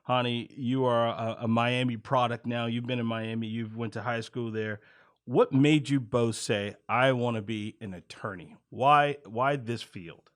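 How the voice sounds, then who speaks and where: Casual male podcast interviewer, mid-pitched. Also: steady tone, measured pace, fairly bright tone.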